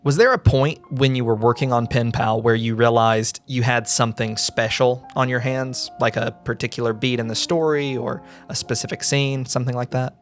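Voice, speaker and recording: A man's voice: mid-range voice, steady tone, normal speed, hardly any pauses, faint music behind. A man hosting an interview, reading out prepared questions. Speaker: interviewer, host.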